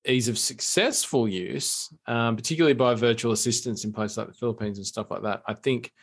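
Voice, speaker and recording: Male Australian podcast co-host. Creaky mid-range voice, casual, halting, dryly joking. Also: medium pitch. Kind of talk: casual chat.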